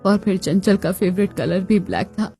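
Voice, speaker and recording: A woman's voice: medium pitch, expressive, normal speed, creaky, mellow, voice pushed down. A stern, grieving middle-aged cartoon mother-in-law, played by a woman. She speaks dramatically and reproachfully, over faint music.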